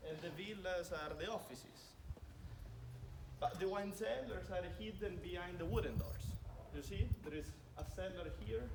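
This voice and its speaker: A man's voice: high-pitched, normal speed. A young Italian man with an Italian accent, giving a chatty winery tour outdoors and piling up "very" for emphasis. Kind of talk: guided tour talk to a group. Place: outdoors in a stone village street. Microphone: phone camera recording from within the crowd. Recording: distant phone audio with loud outdoor noise.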